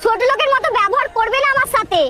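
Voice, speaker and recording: A woman voicing a sharp-tongued, scornful and bossy cartoon wife who scolds and mocks in comic dialogue. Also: extremely high pitch, very fast. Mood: irritated. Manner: scolding, mocking. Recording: loud music over the voice.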